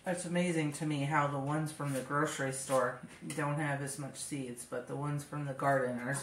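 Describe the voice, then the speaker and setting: A woman's voice: deep voice, steady tone, moderate pace. A woman with a stuffed-up nose chatting casually through a kitchen demonstration, thinking aloud with fillers as she works at home. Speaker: home cook vlogger. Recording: echoey kitchen with background noise.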